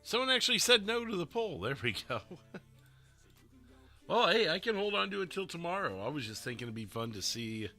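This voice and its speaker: A man chatting casually with his stream viewers, his voice a little rough and stuffy, rambling and laughing often. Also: fairly high pitch, big pitch swings, a little fast, pushed and bright, faint music behind. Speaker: livestreamer playing a roleplay dispatcher.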